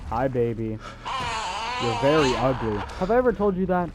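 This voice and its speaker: A man's voice: medium pitch, sing-song, moderate pace, noisy background. A young man giving casual, sarcastic video game walkthrough commentary with crude jokes. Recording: game music under the voice. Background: game music and sounds.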